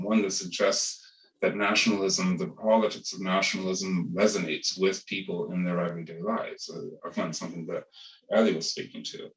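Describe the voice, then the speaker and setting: Male academic panelist, deep voice, halting restarts, roomy distant sound. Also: fairly bright tone. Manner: thoughtful, hesitant with many restarts. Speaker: panel speaker, ethnographer. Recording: echoey room, far from the mic.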